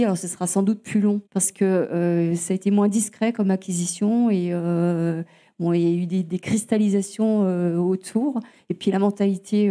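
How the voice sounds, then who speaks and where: Mellow-voiced hesitant woman. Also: mid-range voice. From Alsace, France. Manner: hesitant, many fillers and restarts, storytelling. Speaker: guest, nature charity representative. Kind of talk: unscripted interview answers.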